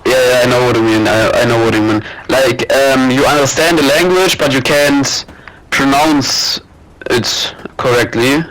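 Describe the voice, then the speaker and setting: A man's voice: mid-range voice, steady tone, measured pace, fairly bright tone, poor audio. A man with a German accent, chatting casually over game voice chat and patiently spelling out words between many fillers. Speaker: gamer teammate. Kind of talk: casual voice chat while gaming.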